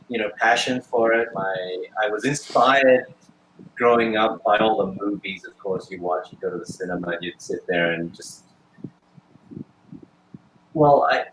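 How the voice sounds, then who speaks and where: A man in his forties speaks in a low, unhurried voice, pausing and restarting as he thinks. The room around him rings with echo. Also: fairly bright tone, a little hiss or hum. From Australia. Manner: thoughtful, hesitant, many fillers and restarts. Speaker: guest actor and filmmaker. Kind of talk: casual interview answers.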